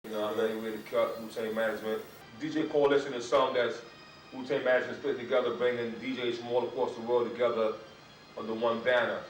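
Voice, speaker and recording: Flat-voiced man in a roomy hip hop promo. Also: medium pitch, fast talker, faint music behind. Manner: laid-back street slang. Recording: roomy, far from the mic, faint hiss.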